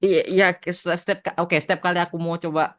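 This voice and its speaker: A man's voice: high-pitched, flat delivery, fast talker, a bit bright. Casual male podcaster mixing in English. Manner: mixes English phrases into his talk. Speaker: podcast host and animation creator answering fan questions.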